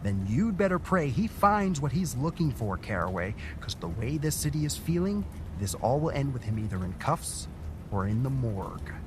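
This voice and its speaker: A man's voice: mid-range voice, sing-song, normal speed, noisy background, close to an everyday voice. A man playing a blunt, skeptical councilman. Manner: skeptical, argumentative. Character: wary, blunt city councilman. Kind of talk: audio drama acting.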